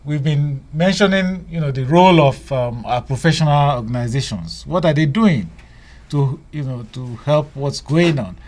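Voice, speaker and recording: Male radio host, fairly high voice, halting, lots of ums and restarts. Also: a little mellow. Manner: halting, many fillers and restarts. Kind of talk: casual radio talk.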